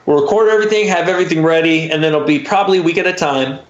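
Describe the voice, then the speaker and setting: An American man with a fairly high voice, chatting as an interview guest. He talks casually and haltingly, feeling his way through sentences. The sound is slightly dull, with a lot of room echo around it. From Florida, United States. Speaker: podcast guest, audio drama writer.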